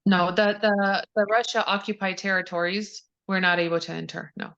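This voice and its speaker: A woman's voice: mid-range voice, little pitch movement, moderate pace, fairly bright tone. A woman from Ukraine answering interview questions, hesitant, with many fillers and restarts. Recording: dull lo-fi audio.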